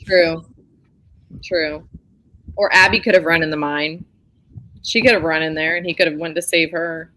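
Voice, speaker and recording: A woman's voice: low-pitched, normal speed, pauses often, pushed and bright, rough recording. A woman chatting casually and informally about romance novels as a co-host of a book club show.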